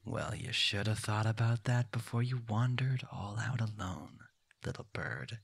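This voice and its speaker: A man's voice: lowish voice, measured pace, vocal fry, husky, no room sound, heavily acted. A man playing a cocky, callous hunter in an audio drama, curt, bossy and sneering. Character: cocky, callous male human bounty hunter. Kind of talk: amateur audio roleplay drama.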